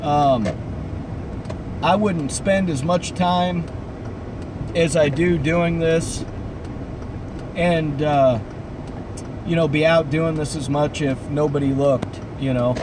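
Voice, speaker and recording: A man with a somewhat high voice talks loosely to his livestream audience. He rambles and hesitates in relaxed slang, his throat a bit froggy. Loud outdoor noise, like vehicles, runs behind him. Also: slow, pauses often. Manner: rambling, hesitant, laid-back, slangy delivery. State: froggy throat, voice starting to give out. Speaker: livestream host and urban explorer. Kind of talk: casual livestream chat with viewers.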